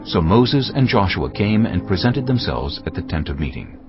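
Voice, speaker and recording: A man's voice: low voice, big pitch swings, normal speed. A man narrating scripture as a scripted dramatized audiobook reading. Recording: muffled audio with music underneath.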